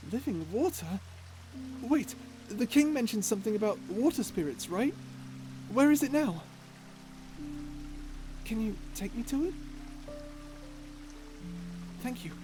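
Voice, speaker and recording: A performer voicing a shy, gentle and curious octopus traveller in an ASMR story roleplay, timid and stammering. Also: medium pitch, close to an everyday voice. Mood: curious, careful.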